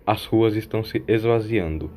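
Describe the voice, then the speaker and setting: A man's voice: on the low side, moderate pace, dark tone, soft background music. A man narrating a scripted science-fiction audio drama as its storyteller.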